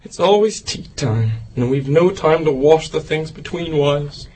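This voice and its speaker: A woman's voice: low-pitched, normal speed, dark tone, slightly rough, pitched below the performer's own voice. A woman voicing a rude, brusque, needling male Hatter in an acted storybook style. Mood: weary resignation.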